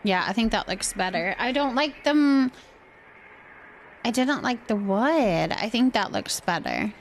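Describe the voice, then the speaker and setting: A woman chatting casually on a livestream as host, musing indecisively out loud while she decorates. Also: a little high, sing-song, measured pace, a little hiss or hum.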